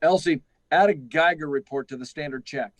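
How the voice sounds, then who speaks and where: Actor playing an anxious, gruff aging flight chief, higher-pitched. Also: male voice, background noise, lightly acted. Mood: brisk, pressing. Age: older. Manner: halting, urgent, repeating himself. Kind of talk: live radio drama acting.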